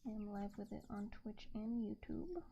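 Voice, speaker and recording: A woman streamer speaking in a soft, breathy, often whispered ASMR style, gently murmuring and chatting casually while she works, close to a binaural microphone. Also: medium pitch, expressive, normal speed, highs cut off, slight background noise. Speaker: streamer and artist. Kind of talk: soft-spoken ASMR, casual livestream chat while drawing.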